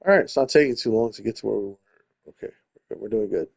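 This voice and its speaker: A man's voice: fairly low pitch, fast talker, creaky, darkish tone. A man hosting a gaming video, thinking aloud informally and reading the story text out as he plays. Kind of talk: casual game commentary mixed with read-aloud narration.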